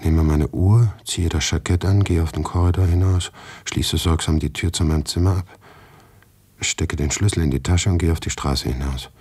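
A male actor playing a brooding writer who narrates his own absurd misfortune in a radio play, husky and deliberate. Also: rumbling low, warm tone, exaggerated voice. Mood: brooding, matter-of-fact. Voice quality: gravelly, husky.

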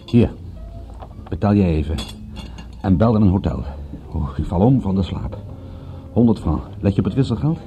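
A man's voice: low voice, lively intonation, moderate pace, long pauses, dark tone. An actor playing a wry, observant male private detective who narrates and converses in a dry, sardonic radio-drama style. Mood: wry, decisive. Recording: dull, muffled old radio-play recording.

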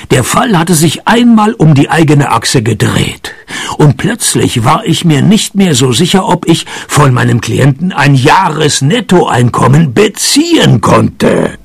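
An actor playing a wry, deadpan male private detective in a noir parody radio play. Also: a little high, vocal fry, breathy edge, no echo, heavily acted. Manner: dry, sardonic, deadpan. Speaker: lead actor and narrator. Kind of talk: noir parody radio play, first-person narration and dialogue.

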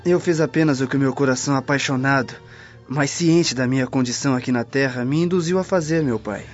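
A man's voice: mid-range voice, fairly quick, natural voice. An actor playing a devout, idealistic young freedman, an earnest and respectful son, in a radio drama. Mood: fervent. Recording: muffled lo-fi audio with music behind.

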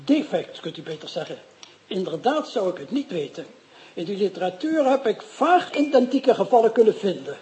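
A pedantic, irritable professor lectures in a high, mellow voice,. He is long-winded and easily annoyed. A faint hiss sits under the recording. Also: big pitch swings, normal speed. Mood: fussy. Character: fussy pedantic male professor, toxicologist. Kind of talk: radio drama acting.

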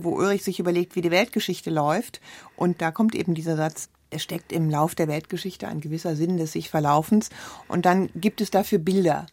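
A woman's voice: fairly low pitch, dry recording. Woman scholar, dry close sound. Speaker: literary scholar. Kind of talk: spoken analytical commentary.

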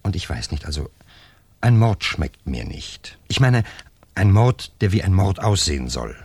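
Casting: uneasy accomplice in a seventies radio thriller, husky lowish voice, cautious, reasoning, widely varying intonation. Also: male voice, sing-song, a little slow, darkish tone, no echo, exaggerated voice. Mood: hesitant, doubtful. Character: hesitant, nervous male lover and reluctant accomplice. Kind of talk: 1970s German crime radio play acting.

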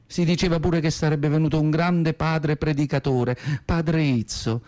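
A man's voice: on the high side, fairly even intonation, unhurried, a little mellow, lightly acted. A male actor playing an elderly, devout southern Italian mother with a Neapolitan accent, telling her memories in a plaintive, emotional radio monologue. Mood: sombre reminiscing. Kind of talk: theatrical radio monologue in dialect. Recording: guitar music behind the voice. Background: acoustic guitar music.

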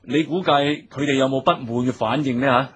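Husky, commanding Cantonese gang boss. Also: male voice, mid-range voice, a bit bright, dull audio. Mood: measured authority. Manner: deliberate, instructing, lecturing subordinates. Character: authoritative male gang boss and club owner, reforming, measured. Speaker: radio drama actor. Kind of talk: Cantonese radio drama acting.

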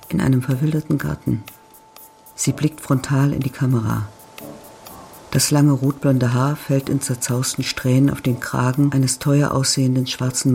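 Gravelly man narrating, sober delivery. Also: medium pitch, slight background noise. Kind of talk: scripted literary narration in a radio play. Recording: studio voice over soft music. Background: faint music.

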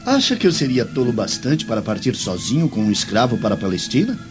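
An actor playing an arrogant, scheming Roman slave master, imperious and sneering, in a radio drama. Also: male voice, medium pitch, wildly swooping pitch, fast. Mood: imperious, mocking. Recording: dull lo-fi radio drama, music underneath.

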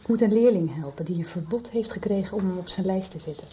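A woman playing a determined, discreet schoolteacher in a radio soap, measured and confidential. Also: medium pitch, warm tone, lightly acted. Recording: radio recording with faint noise.

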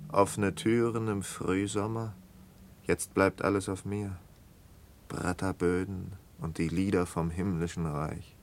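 An actor playing a brooding, haunted killer who confesses in halting fragments in a literary radio drama, sometimes close to whispering. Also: male voice, lowish voice, fairly bright tone, slight background noise. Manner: halting, intense, dropping into near-whispers.

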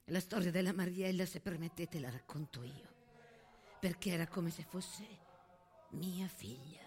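A woman's voice: on the low side, a little mellow, natural voice. A woman playing a fierce, grieving old village foster mother, telling her story in a confiding, colloquial stage monologue. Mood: sorrowful, confiding. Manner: confiding, colloquial, emphatic, with dramatic pauses.

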